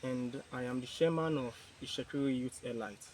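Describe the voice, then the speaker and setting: A man with a Nigerian accent making an earnest, measured public appeal as chairman of a youth group. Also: medium pitch, a little hiss or hum, low-quality recording. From Niger Delta, Nigeria. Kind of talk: press statement, public appeal.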